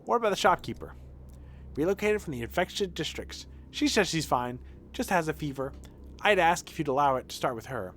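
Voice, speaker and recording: Man doing an eager, higher-pitched hospital helper. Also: expressive, a little fast, a bit bright, a little hiss or hum. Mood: earnest, reporting. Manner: polite, earnest, anxious to please. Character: eager, deferential small-town hospital helper. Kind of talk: video game dialogue read aloud.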